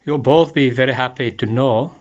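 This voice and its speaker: A man playing a calm, formal police inspector in an amateur radio murder mystery, speaking in a measured, deliberate way. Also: medium pitch, dull audio, close to an everyday voice. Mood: calmly certain. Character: calm, methodical male police inspector questioning murder suspects. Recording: noisy amateur voice-message recording with clicks.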